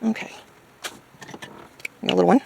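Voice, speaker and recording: A woman chatting casually as she crafts, thinking aloud hesitantly in a hoarse, gravelly voice. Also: measured pace, a little hiss or hum. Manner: hesitant, musing to self. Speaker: craft video maker.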